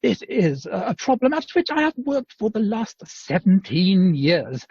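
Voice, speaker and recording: A man plays a self-important Edwardian inventor in a radio play. His voice is high, husky and very animated, with a pompous, formal delivery, on a dull lo-fi call. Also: measured pace, dry recording, full character voice. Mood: boastful. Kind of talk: amateur radio play acting.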